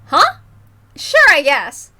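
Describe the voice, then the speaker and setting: A woman voicing a brash, lively teenage anime girl with a casual, energetic manner. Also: high-pitched, slow, background noise, voice pushed up, exaggerated voice. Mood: casual, loud.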